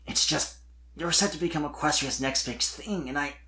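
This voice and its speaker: A bashful young male dragon, put on by a narrator, talks in a medium to lowish pitch. He is kind and nervous, picking his words with a hesitant stammer, as in a cartoon romance. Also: normal speed, pushed and bright, lightly acted. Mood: nervous, self-doubting.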